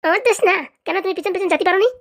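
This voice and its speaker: A woman's voice: extremely high pitch, steady tone, rapid-fire. A woman playing a bossy, grumbling crook who runs a begging racket in a comic cartoon, scolding and sarcastic.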